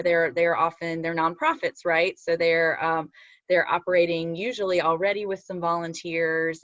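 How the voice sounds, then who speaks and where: A woman's voice: fairly low pitch, a bit bright, no echo. A woman hosting a career panel, fluently encouraging students over a video call. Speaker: panel host. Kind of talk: career advice talk. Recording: dry, dull video call audio.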